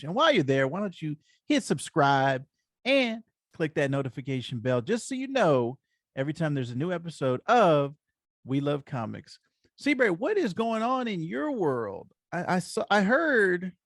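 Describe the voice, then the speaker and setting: A man's voice: a little high, sing-song, normal speed, dry recording, studio quality. A man co-hosting a podcast in a casual chat, with an upbeat, friendly, encouraging manner. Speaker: podcast co-host.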